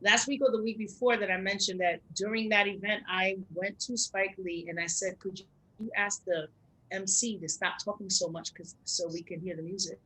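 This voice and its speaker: A woman's voice: medium pitch, fairly even intonation, moderate pace, fairly bright tone, poor audio. A woman hosting an informal interview, steering the conversation in a casual, conversational way. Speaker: host.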